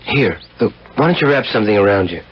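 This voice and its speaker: A man's voice: lowish voice, expressive, quick pace, a little hiss or hum, close to an everyday voice. An American actor playing a selfish, easygoing, hip man in a 1970s radio drama, talking in casual slang. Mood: concerned, soothing. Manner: slangy, casual hipster talk. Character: selfish, hip, easygoing man, a classic-car fanatic lover. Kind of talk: 1970s radio drama acting with first-person narration. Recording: muffled vintage radio recording, loud music behind.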